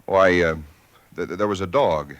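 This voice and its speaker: An actor playing a frightened husband who narrates a 1940s radio drama in a tense, halting way. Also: male voice, deep voice, fairly bright tone, no room sound. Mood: evasive. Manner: tense, halting, restarting words. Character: frightened husband haunted by dread, man. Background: music.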